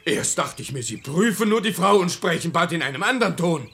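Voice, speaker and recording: An actor playing an indignant, gullible husband and master of the house in a German radio play, speaking verse in an agitated, exclamatory, theatrical way. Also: male voice, a little high, lively intonation, brisk, vocal fry, fairly bright tone.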